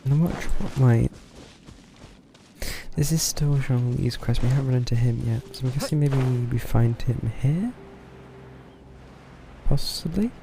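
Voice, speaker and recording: A man's voice: medium pitch, expressive, unhurried, vocal fry, pauses often, warm tone, slight background noise. A man giving casual, wry live commentary as he streams a game, with game music behind him.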